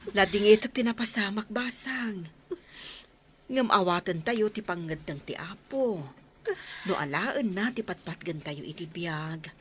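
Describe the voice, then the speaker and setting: A woman's voice: mid-range voice, expressive, unhurried, a bit bright, slight background noise. A woman playing a sympathetic village aunt in a radio drama, with music underneath. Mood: consoling. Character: concerned, sympathetic village auntie. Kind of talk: radio drama acting in Ilocano.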